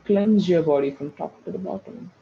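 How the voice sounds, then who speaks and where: A man leading a guided meditation, giving slow, soothing instructions and repeating short phrases for emphasis. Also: high-pitched, creaky, muffled recording, slight background noise. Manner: repeats phrases for emphasis, addresses listeners as friends.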